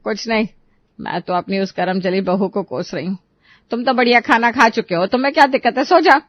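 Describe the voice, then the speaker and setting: A woman's voice: medium pitch, a little fast, lo-fi sound, natural voice. A woman voicing an irritable, scolding older mother-in-law and grandmother in a dramatised story. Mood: grumbling. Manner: sharp, nagging, indignant.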